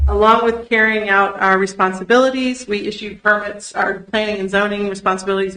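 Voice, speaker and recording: A woman's voice: medium pitch, vocal fry, bright, forceful tone. A woman, a state land use planner, gives an organized presentation with "uh" fillers to county commissioners at a public meeting. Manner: organized, explanatory, with frequent "uh" fillers. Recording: roomy public meeting recording.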